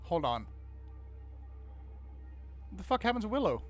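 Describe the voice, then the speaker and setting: A man hosting a game playthrough, reading narration aloud and commenting in a casual, expressive, sweary way. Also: high-pitched, a little slow. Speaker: gaming video host. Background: faint game music.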